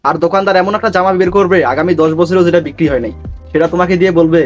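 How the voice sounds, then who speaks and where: Very fast high-voiced Bangladeshi man, mocking. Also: soft background music. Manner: mocking, teasing. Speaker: host of a meme review channel. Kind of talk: scripted comic meme commentary.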